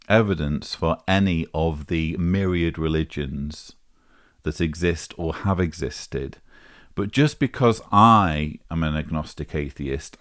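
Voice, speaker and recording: A man hosting a vlog, giving measured, articulate commentary in formal phrasing as he replies to a message. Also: deep voice, smooth. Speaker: vlog host. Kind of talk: measured spoken commentary replying to a voicemail.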